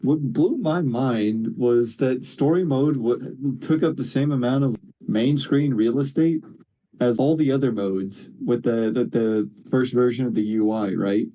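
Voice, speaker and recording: Male co-host for a casual gaming chat. Mellow, relaxed tone, halting and slangy, swears freely. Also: medium pitch, poor audio. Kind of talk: casual chat about video games.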